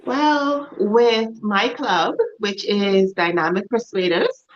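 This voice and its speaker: A woman from the Bahamas with a Bahamian accent, a guest speaking in a measured, friendly way on a talk show. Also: mid-range voice, highs cut off. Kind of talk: casual talk show chat.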